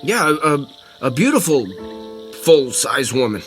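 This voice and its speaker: Man as an excitable, higher-pitched skeptical camper. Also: measured pace, faint music behind. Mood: skeptical, bossy. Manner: stammering, reactive. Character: excitable, skeptical man camping and filming a discovery. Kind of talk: audio drama acting.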